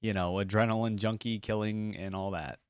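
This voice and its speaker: A man's voice: fairly low pitch, steady tone, a little fast, smooth, no echo. A man hosting a reaction video, giving casual, enthusiastic commentary on game voice lines. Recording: music behind the voice.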